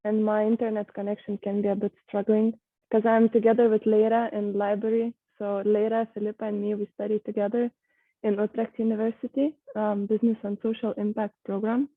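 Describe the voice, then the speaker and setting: A woman's voice: mid-range voice, level tone, fairly quick, no echo. A woman from Lithuania, a university student, speaking matter-of-factly from a library on a video call. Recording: tinny phone-like line.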